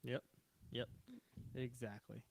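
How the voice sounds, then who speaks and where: A man whose first language is Mandarin, co-hosting a podcast in loose, joking banter that drifts into longer rants. His voice sits at an everyday middle pitch, and the close mic gives it a dry sound with keyboard typing faintly behind. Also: slow pace, vocal fry, breathy edge, no echo. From China. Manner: relaxed, conversational, profane banter. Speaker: podcast co-host. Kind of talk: casual podcast chat.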